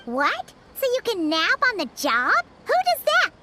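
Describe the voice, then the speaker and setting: A woman voicing a tiny, bubbly and blunt female companion with a very high, squeaky voice. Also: huge pitch swings, unhurried, heavy background noise, heavily acted. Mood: teasing. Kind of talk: game voice acting. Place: studio.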